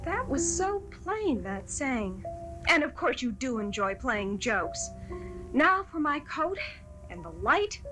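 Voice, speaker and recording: A woman's voice: high voice, sing-song, slow, pushed and bright, soft background music, full character voice. A woman playing a young, conscientious librarian in a 1970s amateur radio drama. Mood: puzzled.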